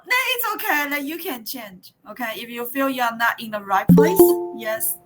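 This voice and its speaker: A woman in her thirties from Taiwan with a Taiwanese accent, leading an online teacher workshop in a halting way, with many fillers and restarts. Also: on the high side, expressive, over music.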